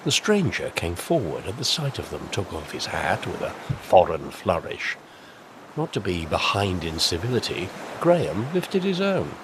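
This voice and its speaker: A man's voice: low-pitched, big pitch swings, moderate pace, noisy background. A man narrating a scripted audio drama as a dramatic storyteller. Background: music.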